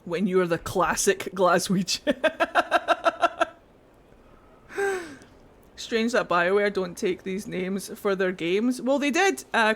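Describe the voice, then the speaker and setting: A young woman from Glasgow chatting casually on a gaming stream, swearing freely and laughing often as she rambles. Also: medium pitch. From Glasgow, Scotland. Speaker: game streamer. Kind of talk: casual livestream chat while gaming.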